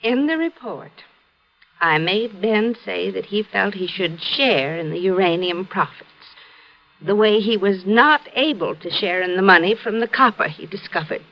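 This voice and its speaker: An actress playing a polite, reticent widow in a classic radio drama, speaking hesitantly and earnestly. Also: female voice, medium pitch, lively intonation, a little slow. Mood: uneasy, reluctant. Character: polite, anxious, reticent widow, a former typist for her husband. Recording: muffled old radio recording.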